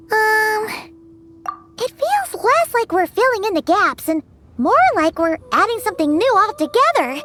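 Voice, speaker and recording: A woman voicing a chatty tiny female fairy companion in a video game, with a squeaky, chirpy manner. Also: full character voice. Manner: squeaky, chirpy, talks of herself by name.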